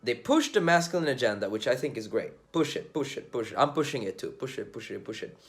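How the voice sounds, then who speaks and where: A man's voice: mid-range voice, animated, quick pace. A young man from Sweden talking casually and bluntly to camera, slangy and swearing as he gives his views on dating. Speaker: dating commentator vlogger.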